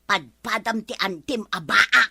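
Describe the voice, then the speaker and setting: Woman acting bright, grumbling older village woman. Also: medium pitch, unhurried, gravelly, breathy edge, dry recording, heavily acted. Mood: indignant. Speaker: actor in a comedy drama. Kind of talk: Ilocano comedy drama acting.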